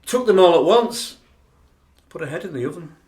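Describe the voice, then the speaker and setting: An actor playing a formal, indignant dinner speechmaker in a radio play, declamatory and emphatic. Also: male voice, sits high, animated, moderate pace, a little mellow. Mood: grave. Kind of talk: theatrical radio play monologue. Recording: roomy, echoing sound.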